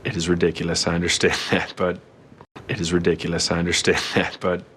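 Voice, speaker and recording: A man's voice: deep voice, completely flat delivery, brisk, a bit bright. An actor playing a man in a film or TV scene. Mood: amused. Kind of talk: film or TV acting. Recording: film clip with faint noise.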